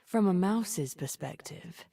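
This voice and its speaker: A woman playing a cunning, haughty immortal sorceress in a video game, with a breathy, husky, deliberate and condescending delivery that often drops to a whisper. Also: lowish voice, animated, warm tone, heavily acted. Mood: haughty, menacing. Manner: theatrical, deliberate, silky condescension. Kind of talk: video game character voice acting. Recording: music behind the voice.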